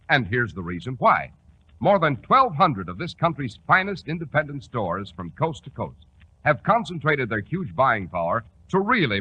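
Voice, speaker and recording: A man's voice: mid-range voice, slightly rough. A man with an American accent announcing on 1940s radio, with a polished, emphatic sales delivery. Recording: muffled 1940s radio recording.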